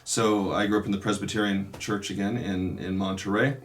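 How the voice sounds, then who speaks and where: Deep-voiced man, reflective storyteller. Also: fairly even intonation, a little hiss or hum. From California. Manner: reflective, unhurried, many pauses and restarts. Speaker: Dominican priest. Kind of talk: casual interview, telling his life story.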